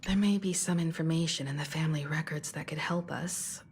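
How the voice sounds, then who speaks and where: A woman playing a composed, gentle young woman with quiet unease in an anime-style video game, soft-spoken and reflective. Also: deep voice, steady tone, brisk, a little hiss or hum. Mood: uneasy, hesitant. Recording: faint music behind the voice.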